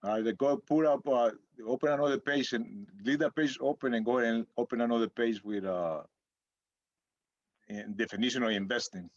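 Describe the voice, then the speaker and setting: Middle-aged dad co-host, meandering casual talk, muffled dry recording. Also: male voice, medium pitch, a little fast, no echo. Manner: rambling, searching for words. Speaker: podcast co-host, father. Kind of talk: casual chat. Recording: dull lo-fi sound, dry room.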